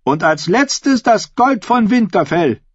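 A man's voice: sits high, big pitch swings, slow, slightly rough, no echo, dull audio, voice pushed up, full character voice. A man voicing a bold, defiant young noblewoman in a dramatic audiobook, with a declamatory, challenging delivery. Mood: mocking, proud.

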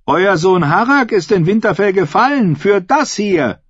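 Man voicing a bold, high-pitched young noblewoman. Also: expressive, unhurried, breathy edge, no echo, highs cut off, exaggerated voice. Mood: accusing. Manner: declamatory, rhetorical, challenging. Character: bold young noblewoman rallying raiders, defiant and scornful. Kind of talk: dramatic audiobook character voice.

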